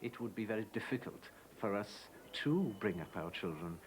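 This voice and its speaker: A Welsh-accented man whose first language is Welsh, speaking earnestly and deliberately in an interview. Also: on the low side, animated, slight background noise, rough recording. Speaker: interviewee, father.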